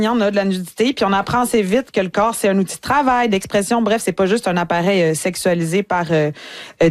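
A woman's voice: medium pitch, dry recording. A Canadian woman with a Quebec French accent, a touring performer telling a comic story and acting out the voices of people she quotes. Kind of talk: comic anecdote in conversation.

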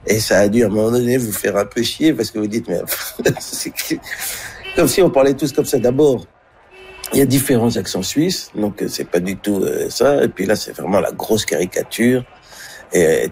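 A male comedian answering an interview, thoughtful and hesitant with frequent pauses. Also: lowish voice, creaky, darkish tone.

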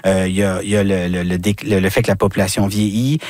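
Man with halting, filler-heavy talk. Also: sits low, steady tone, darkish tone, no room sound, clean studio sound. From New Brunswick, Canada. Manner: halting, many fillers and restarts. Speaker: regional guest commentator. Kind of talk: radio interview chat.

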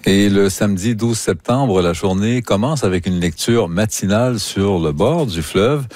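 Radio presenter, male, Quebec accent, low pitch, dry studio sound, arts news delivery. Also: measured pace, darkish tone, dry recording. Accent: Quebec French. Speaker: radio host.

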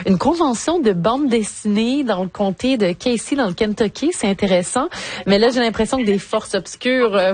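A woman's voice: medium pitch, a little mellow, slightly rough, no room sound. A woman hosting a radio interview, engaged and lightly teasing, laughing easily with her guest. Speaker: radio host.

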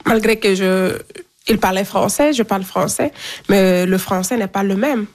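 A woman's voice: medium pitch, moderate pace, a little mellow. Young woman telling her story conversationally. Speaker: interviewee in a radio documentary. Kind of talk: casual personal testimony.

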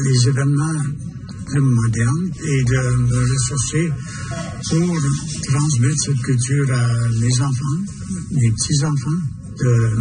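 Older man, slow and heartfelt. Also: medium pitch, poor audio. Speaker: artist attending a summit. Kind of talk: radio interview answers.

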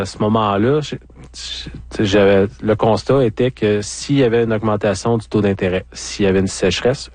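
A man with a Quebec French accent speaks in a low voice, recorded dry. He is an interview guest recounting his own experience in a candid, colloquial, conversational way. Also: darkish tone, no room sound. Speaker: interview guest, former farmer. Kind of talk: conversational personal storytelling.

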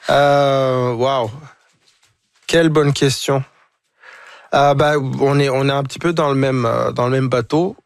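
A man, a guest on a talk show, speaking reflectively and haltingly, often repeating words as he searches for them. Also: mid-range voice, steady tone, creaky, clean tone, no room sound. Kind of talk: reflective conversation.

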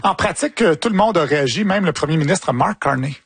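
A man's voice: on the high side, animated, fast talker, gravelly, fairly bright tone, slightly rough, no echo. A man with a Quebec French accent hosting casual commentary on the news in a wry, joking, colloquial way. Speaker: host of a media commentary show.

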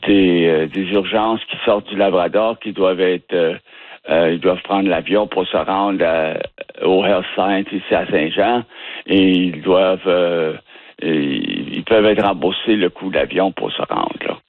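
A man from the Ottawa region with a Canadian French accent, a guest who talks haltingly and restarts words as he searches for them. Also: sits low, no room sound. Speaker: interview guest. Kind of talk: casual phone interview. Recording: tinny dry phone line.

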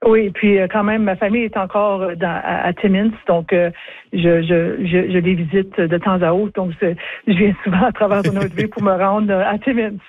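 A middle-aged woman from Northern Ontario with a Franco-Ontarian accent, giving spontaneous interview answers in a halting way, often repeating words. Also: mid-range voice, little pitch movement, dry recording, phone-quality sound. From Northern Ontario, Canada. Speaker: interview guest, former chief justice.

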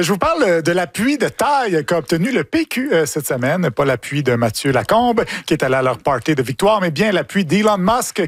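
A man's voice: fairly high pitch, slightly rough, no echo, studio quality. A man with a Quebec French accent giving a wry, sarcastic humorous news review. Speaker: news columnist.

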